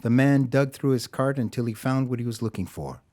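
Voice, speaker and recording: A man narrating a scripted audio drama as a measured, literary storyteller. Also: mid-range voice, mellow.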